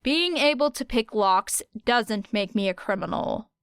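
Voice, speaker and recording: A woman as a guarded, deadpan teenage girl. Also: a little high, unhurried, clear, resonant tone, dry recording. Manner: deadpan, dry. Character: guarded, sarcastic teenage girl with a secretive streak. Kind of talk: audiobook character voice.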